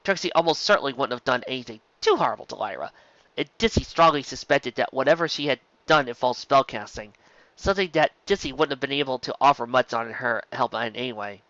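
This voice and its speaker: A narrator reading fan fiction aloud in an expressive, animated way, occasionally stumbling over the words. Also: medium pitch, quick pace, no echo, dull audio. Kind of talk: expressive scripted story narration.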